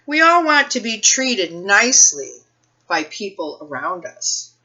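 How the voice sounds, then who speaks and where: A woman reading a picture book aloud for children's storytime, phrasing things deliberately and putting questions to her young listeners. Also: medium pitch, very animated, bright, forceful tone, muffled recording.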